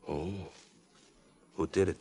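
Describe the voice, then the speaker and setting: An actor playing a curt, menacing gangster, gruff and slangy in a 1940s radio drama style. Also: male voice, takes it slowly, mellow, lightly acted. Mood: suspicious. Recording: old-time radio recording.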